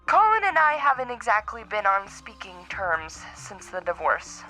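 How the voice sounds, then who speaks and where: A woman's voice: mid-range voice, very animated, moderate pace, pushed and bright, faint music behind, rough recording. A woman playing a determined, worried mother who is a space scientist in an audio drama. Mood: tense, urgent.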